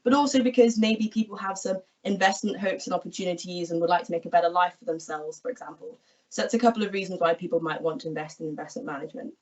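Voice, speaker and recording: A woman in her twenties, from England, explaining her job in a friendly, clear way on an online careers talk. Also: lowish voice, fast talker. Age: 20s. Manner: friendly, explanatory, gestures while talking. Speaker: equity research analyst guest. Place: small room at home, indoors. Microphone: laptop webcam video call. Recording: distant, dull video call audio.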